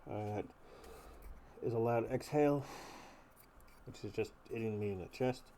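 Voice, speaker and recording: A soft-spoken man, a gear reviewer, rambling casually with many pauses and ums while talking through a gas mask that makes his voice sound muffled and nasal. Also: lowish voice, slight background noise. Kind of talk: casual unboxing talk.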